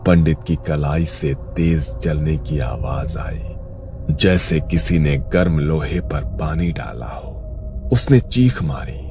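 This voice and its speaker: A man narrates a horror tale in an extremely deep voice. His scripted delivery is slow and suspenseful, with music playing clearly behind him. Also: animated. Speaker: story narrator.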